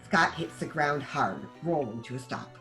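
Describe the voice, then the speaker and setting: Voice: medium pitch, animated, measured pace, pushed and bright, soft background music. A narrator reading scripted stage directions for a table read.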